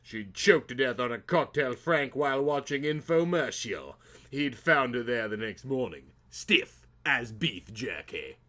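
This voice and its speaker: A man narrates in a florid, theatrical audiobook style. His mid-pitched voice is bright and forceful, with a mock-serious delivery. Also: animated, unhurried. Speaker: story narrator. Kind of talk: dramatic comic audiobook narration.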